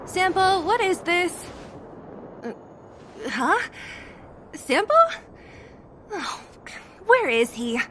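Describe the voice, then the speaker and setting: A woman's voice: very high voice, sing-song, drawn-out words, pauses often, fairly bright tone, a little hiss or hum, heavily acted. A woman voicing a determined, protective young woman in a video game, speaking directly and emphatically. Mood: puzzled, brisk. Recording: music clearly behind the voice. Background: game music.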